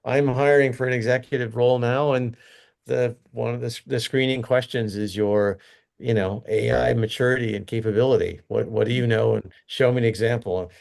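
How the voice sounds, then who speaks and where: A man's voice: mid-range voice, fairly even intonation, fairly quick, vocal fry, a little mellow. A middle-aged man, a company executive, giving earnest, rambling interview answers full of restarts and fillers.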